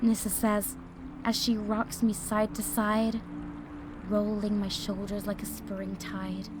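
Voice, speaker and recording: An actress playing an exhausted young fire mage, narrating an audio drama in the first person in a dreamy, drifting way. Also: female voice, medium pitch, completely flat delivery, slow pace, background noise, close to an everyday voice. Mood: dreamy, fading. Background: music.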